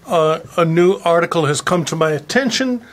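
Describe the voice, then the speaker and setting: A presenter with a halting, hesitant, deadpan delivery, speaking in a mock-serious way while sharing a piece of writing aloud. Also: mid-range voice. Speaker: presenter reading a found article aloud. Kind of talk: rambling deadpan introduction and mock-serious dramatic reading.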